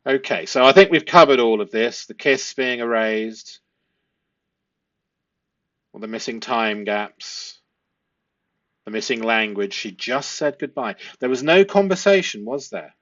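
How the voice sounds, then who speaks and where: A man's voice: medium pitch, lively intonation, measured pace, long pauses, fairly bright tone. Man analysing, dull lo-fi recording. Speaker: host analysing a police interview. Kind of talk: analytical commentary to viewers.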